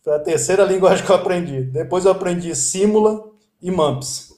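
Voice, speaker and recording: Brazilian guy in his 50s chatting away with stories, a bit high-pitched, echoey room. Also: normal speed. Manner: storytelling, lively and chatty. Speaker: guest. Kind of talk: casual interview chat.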